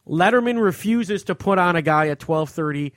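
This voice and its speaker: A man with a noticeably high voice talks casually as a podcast host. He is blunt and profane as he offers his opinions, and the sound is close and dry. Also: lively intonation, moderate pace, a bit bright, no echo. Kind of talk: casual opinionated entertainment chat.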